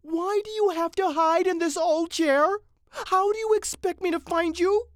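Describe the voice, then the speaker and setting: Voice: extremely high pitch, a little slow, no room sound, studio quality, voice pushed up. A narrator voicing a bossy, exasperated wife in a storybook reading, scolding with impatient questions.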